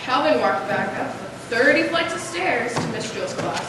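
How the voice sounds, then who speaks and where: A woman's voice: fairly high pitch, expressive, moderate pace. A young female narrator reading a scripted story aloud for a school readers' theater. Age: young adult or younger, school pupil. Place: large echoey room. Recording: echoey noisy room, far mic.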